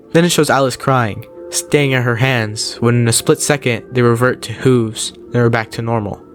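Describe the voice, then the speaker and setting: A man narrating scripted recap commentary on horror videos in a casual, explanatory storytelling way. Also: medium pitch. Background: background music.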